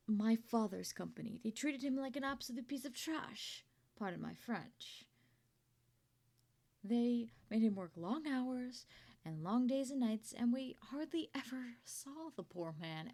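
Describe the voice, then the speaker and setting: A woman playing a kindly, proud older company founder in an ASMR roleplay, chatty and doting, with a creaky voice. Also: mid-range voice, lightly acted. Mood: earnest, frustrated. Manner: gentle, chatty, doting, with throat-clearing and rambling asides. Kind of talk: ASMR roleplay monologue to the listener.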